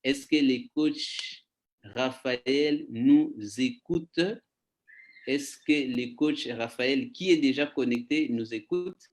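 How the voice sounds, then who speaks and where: A man hosting an online session, announcing his guest slowly and emphatically over a video call. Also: medium pitch. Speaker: host.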